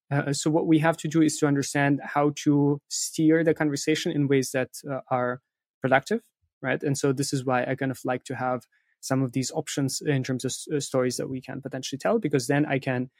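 A man with a medium-pitched voice speaks as an interview guest in a dry, echo-free recording. He explains his working methods in a conversational way, often adding little tag questions to check he is understood. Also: flat delivery, fairly quick. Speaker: interviewee, content writing professional.

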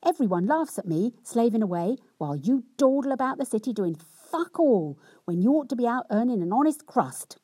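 A woman voicing a cunning, theatrical young wife who berates her husband in a loud, indignant, sweary tirade. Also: a little high, very animated, moderate pace, slightly rough, no echo, studio quality, pitched above the performer's own voice. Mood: scolding.

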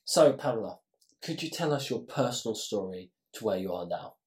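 A man's voice: on the low side, lively intonation, normal speed, darkish tone. A man hosting a podcast, reading an introduction and asking short interview questions. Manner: brief scripted questions. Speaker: podcast host.

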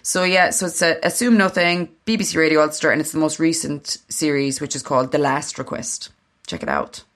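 Low-pitched Irish woman, chatty. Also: a little fast, a bit bright. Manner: chatty, informal, sweary. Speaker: podcast co-host. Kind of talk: casual chat.

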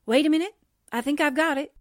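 A woman's voice: high voice, quick pace, slight background noise, natural voice. A woman playing a plucky but jittery newspaperwoman sidekick, slangy and wisecracking, in 1940s radio drama style. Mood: exasperated. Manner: wisecracking, blustering, folksy.

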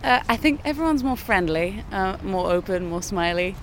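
A woman's voice: medium pitch, lively intonation, moderate pace, slight background noise. A young woman music student who grew up in Sri Lanka, chatting easily outdoors in a snowy park. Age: young adult. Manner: easygoing, chatty. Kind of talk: casual street interview.

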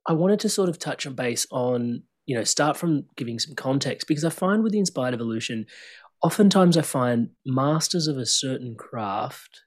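A man's voice: medium pitch, lively intonation, moderate pace. Effusive male podcast host. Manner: warm, effusive, rambling. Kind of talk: podcast interview.

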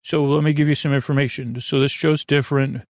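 A man with a creaky, soothing voice, hosting a bedtime podcast in a halting, meandering monologue full of tangents. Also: medium pitch, little pitch movement, darkish tone, no echo, clean studio sound. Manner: lulling, soothing. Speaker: podcast host.

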